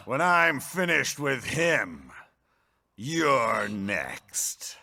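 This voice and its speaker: Middle-aged male video game villain, menacing and taunting, very slow delivery with extremely dramatic swings in pitch. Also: big pitch swings, gravelly, sharp tone, exaggerated voice. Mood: mocking, threatening. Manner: sneering, taunting, swaggering. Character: brash, menacing, contemptuous villain, powerful middle-aged man. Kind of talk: video game cutscene acting.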